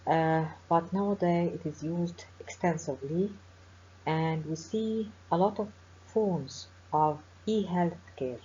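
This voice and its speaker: Egyptian accent lady prof, low voice, slow careful lecture w lots of uh's, muffled webinar sound. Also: warm tone, breathy edge. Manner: formal, measured, with frequent pauses and fillers. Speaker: professor giving a webinar lecture. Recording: dull lo-fi webinar audio with faint hiss.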